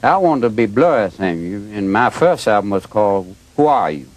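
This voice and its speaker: A man voicing an elderly, boozy male blues singer who rambles slowly through his memories. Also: on the low side, very animated, no room sound. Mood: boozy reminiscing.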